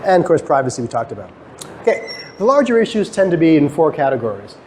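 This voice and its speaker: A man's voice: fairly high pitch, big pitch swings, fast, darkish tone. A man giving an explanatory lecture to students, conversational, posing questions and answering them himself. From Guyana, emigrated to Canada. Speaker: lecturer, academic researcher. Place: lecture room, talk to students. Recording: clearly noisy live lecture recording.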